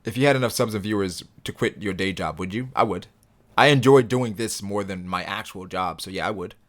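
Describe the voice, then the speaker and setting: A young man hosting a casual livestream, reading viewer comments aloud and answering them in a relaxed, rambling way. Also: lowish voice, a little fast. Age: young adult. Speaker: livestream host reading chat.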